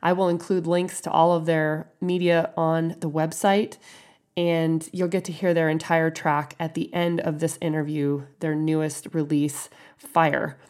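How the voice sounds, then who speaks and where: A woman hosting a podcast, earnest and encouraging, her voice husky from a head cold. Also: deep voice, little pitch movement, a little slow, darkish tone. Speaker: podcast host. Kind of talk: podcast intro and interview.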